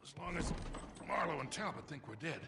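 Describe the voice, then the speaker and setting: Gravelly older American mentor. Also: male voice, a little high, animated, brisk, background noise. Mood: weary, wary. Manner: gruff, dry, folksy. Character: gruff older male mentor, weary, loyal, sardonic. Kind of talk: video game cutscene acting. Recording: game soundtrack music behind.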